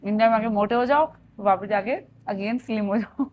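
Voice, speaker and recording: A woman in her thirties from India, with a Punjabi lilt, talks casually as she vlogs her day at home. Her voice is hoarse and a little worn, and she drops English phrases in mid-sentence. The sound is a relaxed home kitchen recording. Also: medium pitch, fairly quick, slight background noise. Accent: Punjabi-tinged Hindi. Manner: chatty, code-switches into English. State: hoarse throat. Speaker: vlogger. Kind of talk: casual vlog narration.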